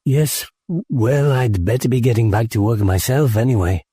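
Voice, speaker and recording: A man's voice: medium pitch, moderate pace, mellow, breathy edge, dry recording, clean studio sound, close to an everyday voice. A man voicing a diffident, reasonable young male friend in an audiobook, speaking tactfully and hesitantly. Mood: resigned. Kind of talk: audiobook character acting.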